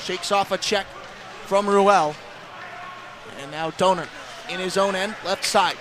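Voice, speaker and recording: A man giving live ice hockey radio commentary as play-by-play announcer, excitable and ready to raise his voice on chances. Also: sits high, measured pace, background noise.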